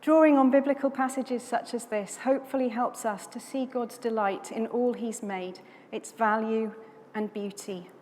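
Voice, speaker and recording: A woman from South Wales giving a sermon as a guest speaker, in a measured, reflective way, phrase by phrase. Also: on the high side, steady tone, a little mellow. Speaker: guest preacher from a conservation charity. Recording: long hall reverb, background noise.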